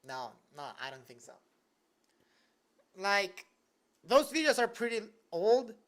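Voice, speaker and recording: A man's voice: sits high, big pitch swings, slow, fairly bright tone. A young man chats loosely on a livestream from his bedroom. He jokes around, answers viewers on the fly, and leans on "like" constantly. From Venezuela. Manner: loose and joking, heavy use of "like". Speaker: YouTuber answering live chat. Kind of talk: casual livestream Q&A. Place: home bedroom at his desk.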